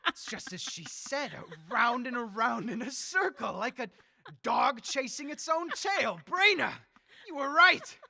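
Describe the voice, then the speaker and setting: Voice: sits high, animated, unhurried, vocal fry, sharp tone, no room sound, full character voice. An actor in a radio drama playing a ruthless, power-hungry war leader, volatile, imperious and theatrical. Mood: manic glee.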